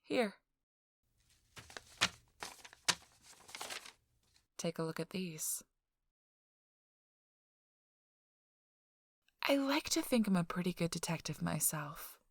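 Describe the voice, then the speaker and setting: Feisty young socialite played by a woman for ASMR audio drama: lowish, creaky, sly, self-assured, close and intimate. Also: animated. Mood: teasing, smug. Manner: slow, teasing, dry sarcasm, drifting into whispers.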